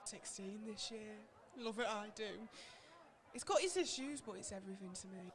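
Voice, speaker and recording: An amateur actress playing an affectionate, homely long-serving community centre worker in a radio play. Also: female voice, mid-range voice, moderate pace, lightly acted. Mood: warm, cheerful.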